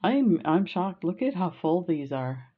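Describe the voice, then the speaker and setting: A middle-aged Canadian woman talks slowly through a bead haul, chatty and gushing, full of little fillers. Her voice sits low, and the home recording sounds dull and lo-fi. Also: big pitch swings. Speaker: beading vlogger. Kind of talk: casual unboxing chat.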